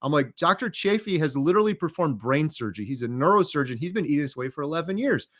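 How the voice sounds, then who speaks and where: A man chatting casually as an interview guest, telling things in an animated way and acting out his own thoughts. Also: on the high side, fast.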